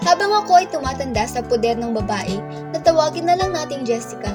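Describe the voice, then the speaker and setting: Radio drama narrator: actress as sorrowful young woman, confessional, slightly high voice, prominent music underneath. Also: animated, a little fast. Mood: sorrowful, reflective. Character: young woman recounting her forced, hard childhood, sorrowful. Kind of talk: scripted first-person radio drama narration. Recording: loud music bed under voice.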